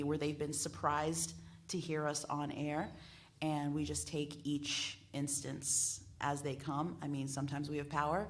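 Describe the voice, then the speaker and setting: A woman speaking off the cuff as a radio panelist, halting at times and repeating phrases for emphasis. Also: sits low, gravelly, slight background noise. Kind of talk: spontaneous panel discussion talk. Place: live panel discussion at a venue.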